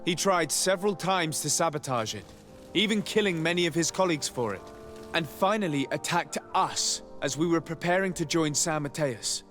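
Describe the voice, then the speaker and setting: A young noble envoy, played by a man, has a fairly high male voice. He is calm, earnest and articulate, a formal diplomat in a fantasy game with faint music underneath. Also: moderate pace, close to an everyday voice. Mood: earnest, persuasive. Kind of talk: video game drama acting.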